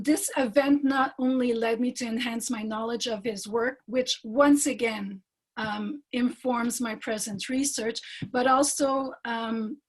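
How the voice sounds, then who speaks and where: Husky woman from montreal, giving an academic talk, lots of ums and restarts, on a video call. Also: fairly high pitch, low-quality recording. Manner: measured, with frequent fillers and restarts. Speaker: doctoral fellow presenting research.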